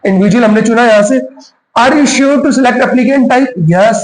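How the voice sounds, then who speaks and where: A man's voice: extremely high pitch, measured pace. A man giving a casual step-by-step tutorial, explaining as he goes and dropping in English terms. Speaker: tutorial host. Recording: roomy, echoing voice recording.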